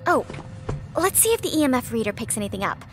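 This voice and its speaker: A woman's voice: on the high side, fast talker, faint music behind. A woman playing a chatty, eager young livestreamer on a vampire hunt in an audio drama, with a casual delivery. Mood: informative. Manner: casual, animated.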